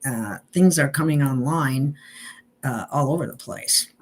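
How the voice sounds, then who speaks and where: A woman with a distinctly low voice chats casually as an interviewer. She moves through her thoughts haltingly, pausing between short phrases and filling gaps with ums. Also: breathy edge. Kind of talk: casual conversation.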